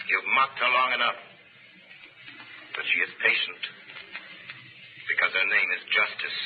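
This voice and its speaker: An actor playing a stern, menacing vigilante avenger in a dramatic, imperious 1930s radio style. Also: male voice, lowish voice, phone-quality sound. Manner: dramatic, imperious, deliberate declamation. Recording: scratchy, phone-thin 1930s radio recording.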